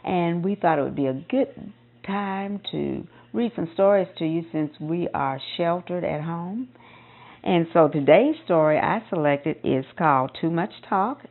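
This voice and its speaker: A woman reading a children's picture book aloud in an expressive storytelling style, with emphasis on repeated phrases. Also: on the low side, unhurried, phone-quality sound. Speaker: storybook reader.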